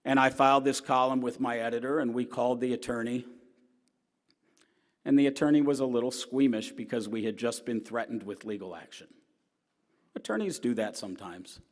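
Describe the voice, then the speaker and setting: A man's voice: mid-range voice, brisk. Earnest middle-aged man in a reverberant hall. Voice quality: loud, strong voice. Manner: earnest storytelling, swinging between emphatic and quiet. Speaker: newspaper columnist giving a talk. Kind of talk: personal lecture to an audience. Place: large hall.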